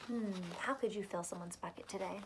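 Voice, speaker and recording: A woman's voice: medium pitch, fairly quick, a little hiss or hum. A woman teacher reading a picture book aloud to children, adding encouraging asides and questions.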